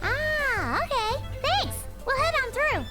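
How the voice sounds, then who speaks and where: A woman voicing a small, childlike fairy companion in a video game, excitable and caring, with a squeaky voice and music behind her. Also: slow pace, full character voice. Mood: bright, curious. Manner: refers to self in third person, animated.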